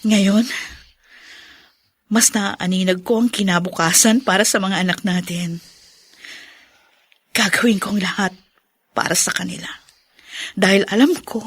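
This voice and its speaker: An actress playing an emotional, devoted mother in a Filipino radio drama, earnest and expressive. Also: medium pitch, slow, long pauses, breathy edge, dry recording. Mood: earnest, hopeful. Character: emotional, devoted mother of special-needs children, regretful yet hopeful.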